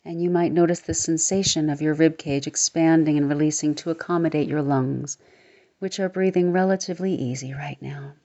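A woman leads a guided meditation in a low, mellow voice, slow and soothing, her words often dropping into a soft near-whisper. The recording is a little dull and muffled. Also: steady tone.

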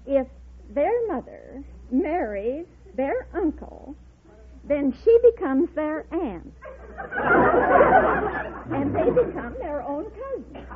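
Sixties female contestant, high-pitched and animated, on a noisy vintage radio recording. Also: takes it slowly, pauses often, over a noisy crowd. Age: 60s. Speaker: quiz show contestant. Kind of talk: chatty answers and anecdotes on stage. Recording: old radio recording, very noisy, narrow-band, loud audience. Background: loud studio audience.

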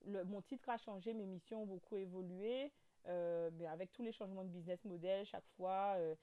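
A woman in her thirties with a Guadeloupean French accent, a guest telling her story in a casual interview, with lots of fillers, restarts and animated turns. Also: medium pitch, brisk, phone-quality sound. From French overseas territory. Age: 30s.

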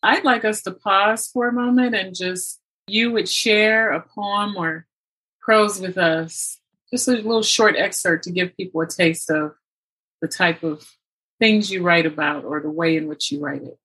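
Bright-voiced woman hosting a podcast interview. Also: medium pitch, measured pace, breathy edge, low-quality recording. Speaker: podcast host and author. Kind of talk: interviewing a guest.

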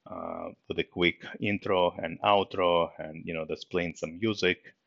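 A middle-aged man from Russia with a Russian accent, hosting a solo podcast episode, speaking haltingly with many fillers in a subdued way. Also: low-pitched, steady tone, creaky, dry recording, highs cut off. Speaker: podcast host.

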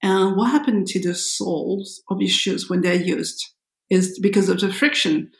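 A woman's voice: mid-range voice, steady tone, moderate pace, vocal fry, roomy sound. A woman from France talking casually as a podcast co-host. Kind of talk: casual conversation.

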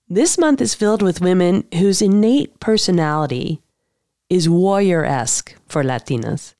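Low-voiced woman hosting a podcast. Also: dark tone, dry recording, clean studio sound. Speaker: podcast host. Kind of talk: scripted podcast introduction and interview.

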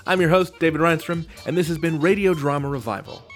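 A man's voice: on the high side, quick pace, soft background music. A man hosting a podcast from a script, with a playful, theatrical delivery. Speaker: podcast host.

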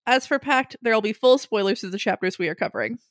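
A woman's voice: a little high, quick pace, no room sound. A young woman co-hosting a casual podcast, easygoing and wry, tossing in dry asides. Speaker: podcast co-host. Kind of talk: casual chat.

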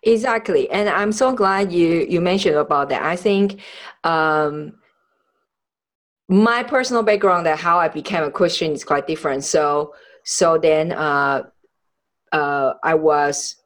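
Low-pitched woman podcaster from Taiwan, halting delivery. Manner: halting, searching for words in non-native English. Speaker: podcast host. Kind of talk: conversational interview, personal storytelling.

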